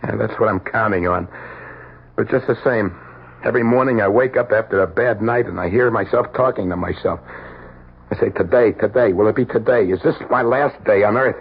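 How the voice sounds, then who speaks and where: An actor playing a paranoid, hot-tempered small-time crook with slangy tough talk in an old-time radio crime drama. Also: male voice, lowish voice, brisk, husky. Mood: anxious, weary. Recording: noisy, muffled old radio broadcast.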